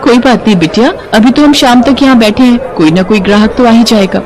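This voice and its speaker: A gentle, devout mother of a poor storybook family, played by a woman. Her voice sits fairly high and she speaks patiently, with a pleading note. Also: a little fast, lightly acted. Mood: reassuring.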